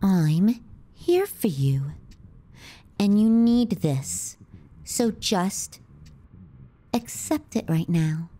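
A woman playing a teasing, possessive, sardonic younger sister in an intimate ASMR roleplay, speaking softly and breathily, often near a whisper, close to the mic. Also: mid-range voice, sing-song, drawn-out words, long pauses, exaggerated voice. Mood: concerned, insistent.

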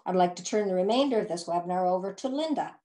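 An older woman hosts a webinar over a video call. She speaks deliberately, thanking the speakers and explaining how the session will run. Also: on the low side, lively intonation, warm tone. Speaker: webinar host. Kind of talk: moderating a webinar.

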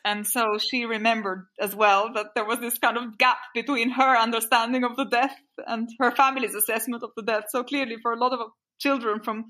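A woman's voice: a little high, little pitch movement, a little fast, few pauses, pushed and bright. A woman in her forties from Albania, a podcast interview guest thoughtfully recalling childhood memories with small restarts. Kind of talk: reflective interview storytelling.

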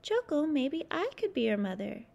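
A woman voicing a kindly, motherly female bear in a storybook, gentle and caring. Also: high voice, very animated, normal speed, creaky, heavily acted. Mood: tender.

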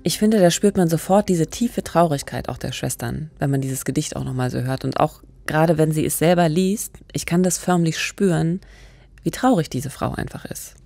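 A woman co-hosting a true-crime podcast in a radio studio, measured and asking questions. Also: low voice, creaky, dark tone, no echo, soft background music. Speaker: podcast co-host. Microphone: studio microphone with pop filter, headphones.

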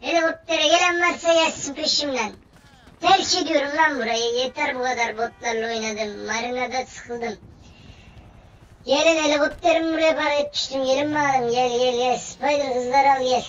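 A playful, slangy gamer giving running commentary on a video game, boasting and repeating phrases excitedly. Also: high voice, normal speed, dull audio. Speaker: gameplay video narrator. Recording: noisy, distant-sounding game commentary recording.

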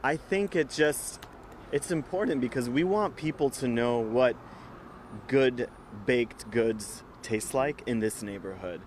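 A man in his thirties, a bakery co-owner, answering in a live TV interview outdoors in a relaxed, slightly halting way. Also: medium pitch, a little hiss or hum. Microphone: reporter's handheld mic.

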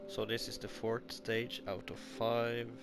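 A man's voice: medium pitch, completely flat delivery, slow pace. A young Norwegian man, speaking English as a second language, giving modest gameplay commentary while he plays. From Norway. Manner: modest, explains while playing, gets loud when frustrated. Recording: game music playing loudly behind.

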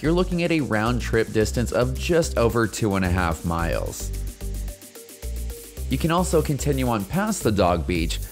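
Male vlogger, scripted tour narration, animated pitch, light music bed. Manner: friendly, informative tour guide narration. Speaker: kayaking vlogger. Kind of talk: scripted travel voice-over. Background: soft background music.

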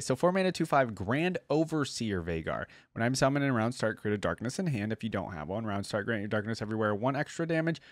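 A man's voice: medium pitch, dry recording. A man, giving casual game reaction commentary close to a desk mic. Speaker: reaction video host. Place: small indoor room, gaming chair. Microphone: close desk microphone on boom arm. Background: game trailer music.

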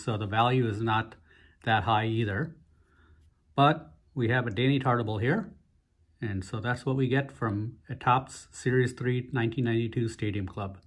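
Male vlog host, slightly husky, easygoing and unhurried, narrating a pack opening. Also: mid-range voice. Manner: relaxed, unhurried, frequent "uh" fillers. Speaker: host of a card-opening channel. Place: indoors at a table, hands only on camera.

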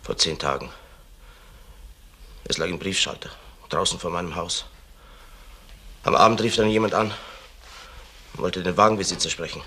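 A man's voice: low-pitched, moderate pace, long pauses, sharp tone, slightly rough, exaggerated voice. A man playing a guarded middle-aged father and bar owner in a German crime radio drama, speaking in short, terse sentences. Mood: grave, recounting.

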